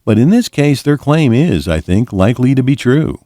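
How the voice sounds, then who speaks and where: A man reading a fantasy book aloud as a volunteer narrator, in a measured, expressive storytelling delivery. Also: mid-range voice, no echo, studio quality.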